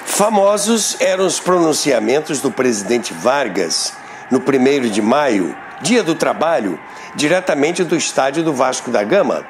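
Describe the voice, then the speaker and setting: An older man with a Brazilian Portuguese accent who hosts a radio history show, narrating from a script with expressive, emphatic delivery. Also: medium pitch, unhurried, background noise.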